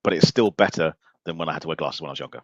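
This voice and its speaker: Fast-talking deep-voiced joking man. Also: no echo, lo-fi sound. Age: about 40. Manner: joking, informal, teasing. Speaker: podcast host. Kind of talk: casual interview chat.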